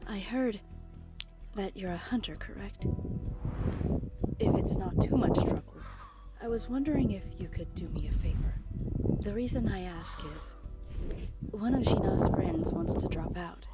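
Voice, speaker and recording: Actress as a polite female teacher over loud music. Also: medium pitch, animated, measured pace, pauses often, lightly acted, poor audio. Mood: polite, concerned. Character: polite, caring female high school homeroom teacher. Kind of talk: game cutscene dialogue acting.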